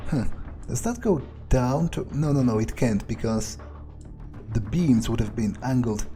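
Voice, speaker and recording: A man's voice: medium pitch, animated, a little slow, dark tone, faint music behind, lightly acted. A man playing a cynical, foul-mouthed vampire in a video game, muttering impatiently as he thinks aloud. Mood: impatient, grumbling. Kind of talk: in-character video game playthrough monologue.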